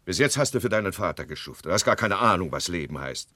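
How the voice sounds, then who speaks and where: An actor playing an impetuous, possessive gentleman lover with an emphatic manner in a German radio play. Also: deep voice, expressive, quick pace, no echo, clean studio sound. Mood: agitated, indignant. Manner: emphatic, blustering, scornful.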